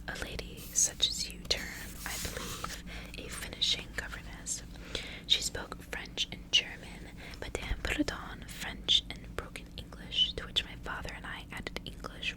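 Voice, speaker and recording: A woman whispering a gothic story aloud as an ASMR reading, all breath and no voice. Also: rough recording.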